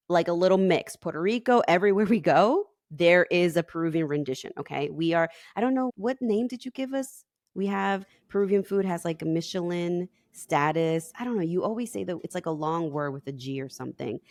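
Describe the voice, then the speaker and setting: A woman's voice: fairly low pitch, a little mellow, no room sound, clean studio sound. A woman from Peru, a relationship coach, telling her story in a casual podcast chat and laughing often.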